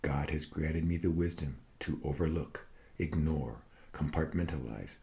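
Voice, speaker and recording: A man gives a scripted dramatic recitation, a mock-meditative monologue in a solemn, deliberate, wryly serene manner. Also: rumbling low, dark tone. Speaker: reader of own satirical prose piece.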